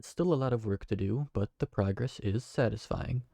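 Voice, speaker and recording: A man's voice: lowish voice, moderate pace, creaky, dark tone, no echo, clean studio sound. A man voicing a kind, awkward tavern keeper who talks in a hesitant, friendly way. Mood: cheerful. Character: kind, awkward young male tavern keeper named Briar. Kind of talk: fantasy audio roleplay.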